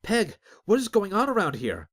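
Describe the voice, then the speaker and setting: Guy putting on a high loud desperate young thief, yelling in an audiobook. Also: wildly swooping pitch, normal speed, full character voice. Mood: pleading. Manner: shouting, desperate. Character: frantic young male street thief.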